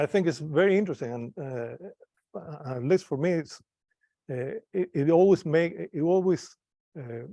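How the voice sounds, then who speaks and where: A man's voice: medium pitch, darkish tone, dry recording. A man from Cuba giving an informal talk to a club audience, hesitant, with many fillers and restarts. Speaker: club presenter. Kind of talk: informal presentation to a live audience.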